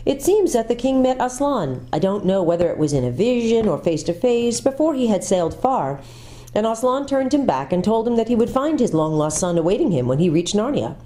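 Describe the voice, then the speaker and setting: A woman's voice: mid-range voice, very animated, fairly quick, dark tone, pitched above the performer's own voice, close to an everyday voice. A woman voicing a polite, eager young male fawn who talks in a chatty, earnest way.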